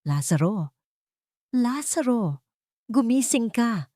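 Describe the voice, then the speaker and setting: A woman's voice: fairly high pitch, very animated, slow, dark tone, dry recording, studio quality, voice pushed up. A woman voicing a frightened, tearful pregnant woman in an audio love drama, anxious and pleading. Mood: frantic. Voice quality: trembling, pleading.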